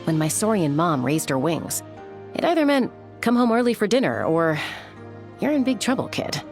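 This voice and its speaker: A woman's voice: lowish voice, big pitch swings, normal speed, darkish tone. A woman playing a thoughtful, self-assured young woman in a video game, wry and earnest, with music behind.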